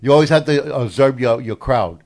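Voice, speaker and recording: A man of mid pitch hosts a relaxed talk show. He chats easily and tells long anecdotes in plain everyday speech. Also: normal speed. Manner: animated storyteller, gives shout-outs. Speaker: co-host and DJ. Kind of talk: casual podcast chat.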